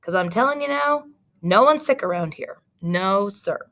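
A nosy storybook hotel clerk, played by a woman, folksy and emphatic in a small-town way. The clerk is wary of strangers and repeats points insistently, with the pitch lifted a little. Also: animated, moderate pace, a bit bright. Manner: folksy, emphatic, repetitive phrasing.

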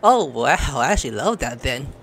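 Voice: mid-range voice, big pitch swings, moderate pace, a bit bright, slightly rough, dry recording. A gaming streamer giving casual let's play commentary in an informal, exclamatory way. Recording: game music behind the voice.

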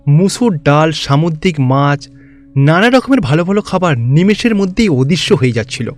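Male storyteller for scripted cartoon tales: fairly high pitch, light music underneath. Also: animated, moderate pace. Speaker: narrator. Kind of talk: scripted fairy-tale narration.

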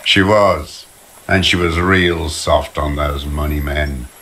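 Very deep, bitter old deserter. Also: male voice, steady tone, a bit bright, a little hiss or hum, heavily acted. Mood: scornful. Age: older. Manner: slow, contemptuous, brooding. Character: bitter old communist deserter, male, hateful and rambling. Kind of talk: dramatic video game voice acting. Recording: music under the voice.

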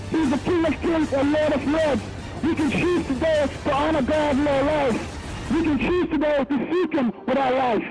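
A man's voice: very high-pitched, rough recording. A man street preaching outdoors on a rainy city corner, urging passersby with repetitive calls to repent. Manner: urgent, repetitive exhortation. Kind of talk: open-air street preaching.

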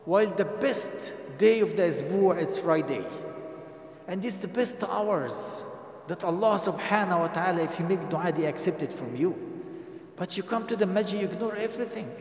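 A man's voice: high-pitched, moderate pace. An older man giving a Friday sermon in a mosque at a stand microphone, earnest and exhorting, in non-native English with Arabic phrases mixed in. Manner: earnest, exhorting, rising to emphatic stress, asks the congregation questions. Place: mosque prayer hall, indoors. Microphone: stand microphone at a lectern. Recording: echoey mosque hall.

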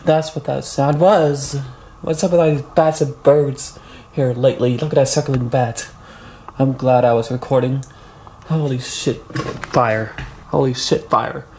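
A man in his late twenties exploring an abandoned house at night, talking low to his handheld camera. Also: steady tone, a little slow, darkish tone. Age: late 20s. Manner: low running commentary to camera. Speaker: paranormal urban explorer. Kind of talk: solo night exploration vlog. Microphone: handheld camera mic. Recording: noisy handheld camera audio.

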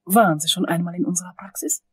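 An actress playing a friendly, naive middle-aged dental assistant with a polite service tone in a crime radio play. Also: female voice, lowish voice, fast talker, darkish tone, breathy edge, no echo, clean studio sound.

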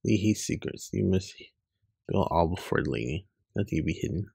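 A man reading webcomic dialogue aloud in an informal way, loosely acting out the lines, with a gravelly, husky voice. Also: low voice, fairly quick, warm tone. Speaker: online comic reader.

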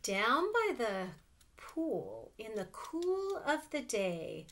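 A middle-aged woman reading a picture book aloud to children, expressive and sing-song, with casual asides. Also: on the high side, extremely slow. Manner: expressive, sing-song, asking the listeners questions. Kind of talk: animated read-aloud storytelling with casual asides. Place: small room at home with sloped ceiling.

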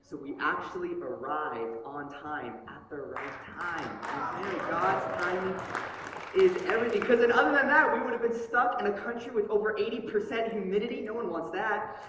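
A young male pastor preaches from a church stage, animated and joking, wearing a headset mic. His voice sits a little high. The sound carries a long hall reverb and seems a bit distant from the mic. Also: moderate pace, a crowd in the background. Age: young adult. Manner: animated, joking, gestures broadly while talking. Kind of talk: storytelling sermon. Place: church sanctuary stage with pulpit. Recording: echoey hall, mic heard from far off.

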